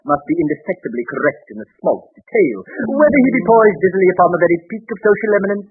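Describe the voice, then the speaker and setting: Snooty fawning menswear salesman, high swooping voice, florid and deferential, old radio comedy. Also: very animated, racing, husky, exaggerated voice. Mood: gushing. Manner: stilted, flowery, deferential. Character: pompous, obsequious high-class menswear salesman, florid and affected. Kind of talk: 1930s radio comedy acting. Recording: muffled vintage 1930s radio broadcast.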